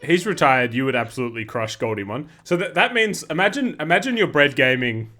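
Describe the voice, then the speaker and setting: A man's voice: medium pitch, lively intonation, brisk, sharp tone, soft background music. A man hosting a gaming video who talks upbeat, laughs often and then interviews a guest. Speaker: host.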